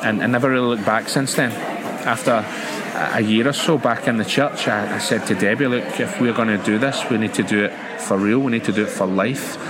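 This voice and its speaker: A man's voice: fairly low pitch, fairly quick, a loud crowd. A man with a Glaswegian Scottish accent, an associate pastor telling his story earnestly and conversationally as an interview guest. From Glasgow, Scotland. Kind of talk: conversational personal testimony. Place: coffee house. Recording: noisy coffee house ambience. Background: cafe background noise.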